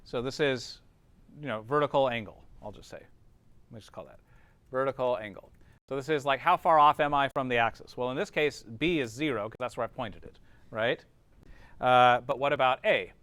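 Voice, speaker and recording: Clear-voiced male teacher, close dry sound. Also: mid-range voice, moderate pace, a bit bright, no room sound. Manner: informal, checks understanding with short tag questions. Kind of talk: classroom lesson, explaining step by step.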